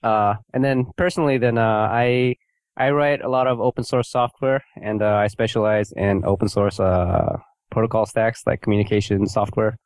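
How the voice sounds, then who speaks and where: A low-voiced man from California with an American accent chats as a guest over a remote call. He talks haltingly, with plenty of fillers and restarts. The sound is dry and close. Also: steady tone, darkish tone, dry recording. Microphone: remote call setup.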